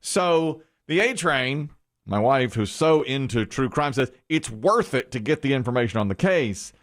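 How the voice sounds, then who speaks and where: A man chatting on a morning radio show, his voice swinging lively up and down as he tells a story. He slips into mimicking other people's voices now and then, speaking into a studio mic. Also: medium pitch, a little slow, no echo. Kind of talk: casual radio banter.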